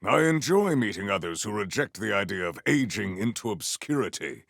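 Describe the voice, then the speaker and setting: Stately warrior with dramatic pitch swings. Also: male voice, sing-song, moderate pace, vocal fry, fairly bright tone, no echo, clean studio sound, full character voice. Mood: composed, stately. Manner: formal, archaic, theatrical diction. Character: proud, formal, honor-bound male warrior, grandiose and battle-hungry. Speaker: video game voice actor. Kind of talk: theatrical video game character voice lines.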